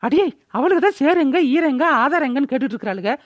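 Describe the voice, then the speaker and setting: A scolding, sharp-tongued mother from a village cartoon, voiced by a woman, talks fast and high in a rural Tamil Nadu accent. She is brash, and the recording is dry and a little dull. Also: lively intonation, no echo. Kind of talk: cartoon village drama voice-over.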